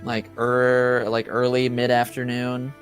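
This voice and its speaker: A man's voice: medium pitch, monotone, slow, soft background music. A man acting as game master, explaining things hesitantly with many fillers. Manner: hesitant, lots of ums and likes. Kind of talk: narrating a tabletop game.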